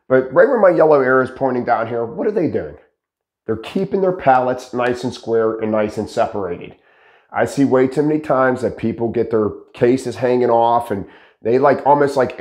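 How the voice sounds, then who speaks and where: A man in his thirties hosting a casual instructional talk to camera, his voice slightly raspy from shouting, asking rhetorical questions as he explains. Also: medium pitch, reverberant. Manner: animated, gestures a lot, asks rhetorical questions. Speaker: host teaching pallet building. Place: dark studio with green screen, indoors. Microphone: lapel mic.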